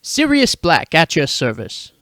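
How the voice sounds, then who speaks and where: A man's voice: on the high side, huge pitch swings, a little slow, fairly bright tone, dry recording, clean studio sound, full character voice. A man acting a bold, cocky teenage schoolboy in a fan fiction audio drama, speaking in an earnest, boastful way.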